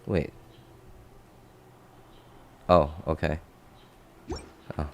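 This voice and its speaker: A man's voice: rumbling low, little pitch movement, very slow, gravelly, darkish tone, a little hiss or hum. A man hosting a live game stream, talking casually and thinking aloud as he answers viewers, often repeating his phrases.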